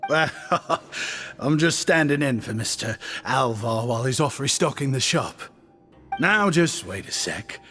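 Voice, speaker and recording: A man's voice: medium pitch, animated, unhurried, a bit bright, long reverb tail, soft background music. An actor playing an affable, chatty young male shopkeeper in a video game, effusive and breezy with a self-deprecating streak. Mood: breezy, curious.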